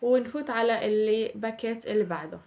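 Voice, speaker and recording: A young woman with a Palestinian Arabic accent, chatting casually through a shopping haul, her voice slightly hoarse from a cold. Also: on the high side, quick pace, darkish tone, lo-fi sound, slight background noise. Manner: chatty, addresses viewers as girls. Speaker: vlogger.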